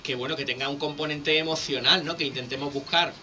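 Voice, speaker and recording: A man, a public speaking coach explaining things in a lively, teacherly way as a guest on a radio interview. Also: fairly high pitch, fast, music in the background.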